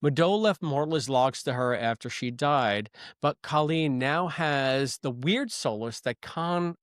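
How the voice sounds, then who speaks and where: A man's voice: mid-range voice, measured pace, clear, resonant tone, dry recording, studio quality. A man co-hosting a podcast in a casual, chatty review style. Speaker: podcast co-host. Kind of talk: casual review chat with recaps.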